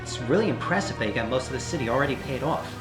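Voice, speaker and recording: A man's voice: medium pitch, animated, very fast, lightly acted. A man playing a deferential, ingratiating dockside drug gang henchman in an audio drama. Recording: loud music behind the voice.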